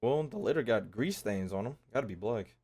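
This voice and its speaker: A man's voice: lowish voice, animated, brisk, a little mellow, slight background noise. A man giving casual, joking, slangy commentary over a game playthrough, often echoing the dialogue. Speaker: gaming streamer.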